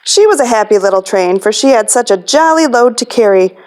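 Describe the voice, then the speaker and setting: A woman's voice: on the high side, animated, brisk, a little mellow. A woman hosting a children's storytime as a librarian, reading a picture book aloud.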